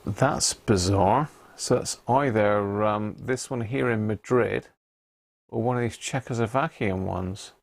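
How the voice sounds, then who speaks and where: A man's voice: fairly low pitch, takes it slowly, vocal fry. A British man hosting a casual livestream, talking informally to viewers and thinking aloud with frequent tag questions. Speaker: livestream host.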